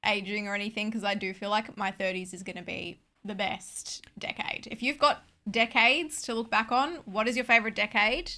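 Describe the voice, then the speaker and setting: A woman's voice: medium pitch, steady tone, bright, forceful tone. A woman in her late twenties, co-host of a reaction channel, chatting in a bubbly way and reading out viewer questions. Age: young adult. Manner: bubbly, quick to laugh, reads out questions. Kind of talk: casual chat.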